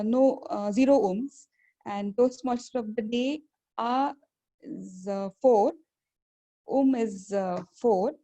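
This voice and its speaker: A woman's voice: on the high side, mellow. A woman reading out a filler-word count at an online speaking club meeting, hesitating often. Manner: halting, many ums and uhs, reading out counts. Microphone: video call.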